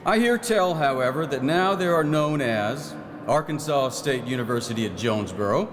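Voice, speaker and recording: An actor playing a proud, folksy 1909 farm-minded legislator who reminisces in a plain-spoken theatrical monologue. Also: male voice, on the high side, natural voice. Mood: proud, reminiscing. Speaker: actor in historical re-enactment. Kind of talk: theatrical first-person historical monologue. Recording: echoey hall, clear background noise.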